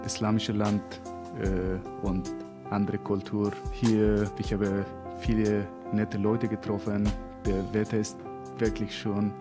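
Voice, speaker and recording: A young man from Afghanistan whose first language is Dari, speaking German slowly and haltingly with an Afghan accent in a small radio studio. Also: on the low side, little pitch movement, over music. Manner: slow, halting speech with broken grammar. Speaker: interviewee. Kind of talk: interview answers. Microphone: studio mic on a boom arm.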